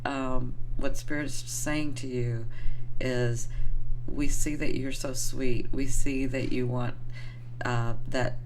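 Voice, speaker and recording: Hoarse woman doing a tarot reading, kinda rambly and sweet, lots of ums, bit of hiss behind her. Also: a bass voice, steady tone, slow pace, darkish tone, poor audio. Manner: affectionate, rambling, lots of fillers. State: hoarse, getting over the flu. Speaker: tarot reader.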